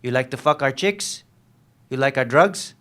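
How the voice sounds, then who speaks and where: An actor playing a menacing, volatile lieutenant who taunts and needles with abrupt questions in a dramatic reading of a novel. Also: male voice, mid-range voice, lively intonation, moderate pace, fairly bright tone, close to an everyday voice. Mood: menacing, angry.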